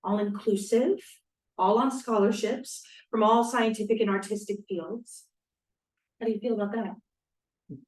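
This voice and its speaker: A woman's voice: mid-range voice, a little slow, long pauses, darkish tone, close to an everyday voice. A woman reading the part of an earnest young secret society member in a period drama, slightly hesitant. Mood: earnest, persuasive. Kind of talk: table read of a period drama. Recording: echoey, distant room sound.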